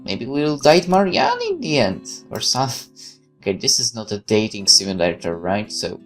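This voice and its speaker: A man records a video game playthrough. His pitch moves in a very lively way as he reads story text and chats in an expressive, casual manner. Faint guitar music plays underneath. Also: normal speed. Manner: expressive, chatty. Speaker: gaming YouTuber. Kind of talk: let's play, reading game text aloud with casual commentary.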